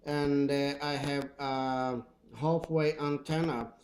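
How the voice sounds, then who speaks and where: A man with a Swedish accent, speaking English as a second language, chats casually and haltingly as a radio hobbyist. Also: fairly high pitch, little pitch movement, darkish tone, a little hiss or hum. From Sweden. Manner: halting, hesitant. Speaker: CB radio operator. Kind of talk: casual two-way radio chat. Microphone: old radio microphone.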